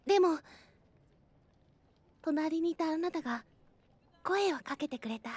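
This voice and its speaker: A woman playing a devoted, protective schoolgirl with shy affection and gentle, reassuring phrasing in a voice drama. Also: extremely high pitch, expressive, measured pace, no room sound. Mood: tender, confessing. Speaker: voice actor. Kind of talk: Japanese anime-like voice drama acting.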